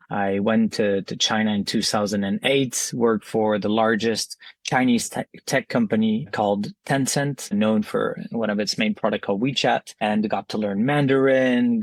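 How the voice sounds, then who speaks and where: A man in his thirties with a slight French accent, a native French speaker, explaining things in a measured interview answer with some fillers. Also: lowish voice, dry recording. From France. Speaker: podcast guest.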